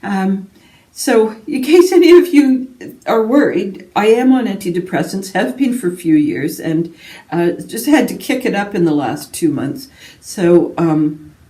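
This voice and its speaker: A woman's voice: medium pitch, lively intonation, a little slow, dark tone. A woman from Canada chatting casually and ramblingly to her viewers as a book vlogger, in a self-deprecating way. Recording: roomy echo, distant microphone.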